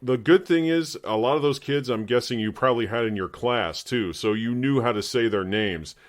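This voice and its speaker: A man's voice: mid-range voice, moderate pace. An American man hosting a conversational sports interview, chatty, with long lead-ins to his questions. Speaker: interviewer, host.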